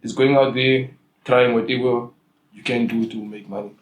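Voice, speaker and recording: A young man from Africa, a guest in a casual interview, answering in a relaxed way. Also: mid-range voice, moderate pace. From South Africa. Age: young adult. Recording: echoey room.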